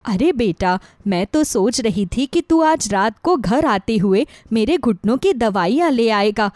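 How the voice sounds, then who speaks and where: A woman's voice: on the high side, animated, a little slow, a little mellow, no room sound, studio quality. A woman playing an affectionate older mother-in-law who frets about her household in a moral story. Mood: fond. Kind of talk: acted dialogue in a moral story.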